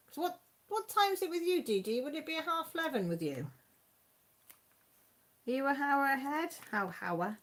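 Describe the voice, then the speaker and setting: A woman with a Mid Wales Radnorshire accent, hosting a casual live chat on her channel, reading out comments and laughing often. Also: medium pitch. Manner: chatty, homely, laughs often. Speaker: YouTube channel host.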